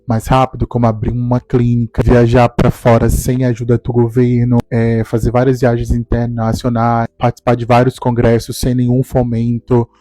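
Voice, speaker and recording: A man, telling his life story to camera in a calm, reflective way. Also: medium pitch, no echo. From Salvador, Brazil. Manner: calm, reflective, measured delivery. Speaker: speech therapist and doctoral student. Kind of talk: personal testimony to camera.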